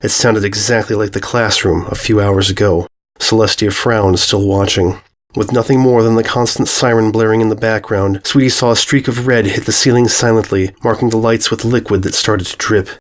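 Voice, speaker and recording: Low-pitched man narrating a horror story. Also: fairly even intonation, normal speed. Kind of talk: scripted narration of horror fan fiction.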